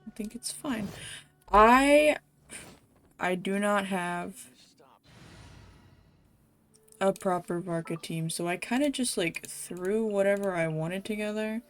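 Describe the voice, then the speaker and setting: A woman's voice: mid-range voice, unhurried. A woman game streamer chatting casually to viewers as she plays. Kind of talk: casual livestream chat while playing a game.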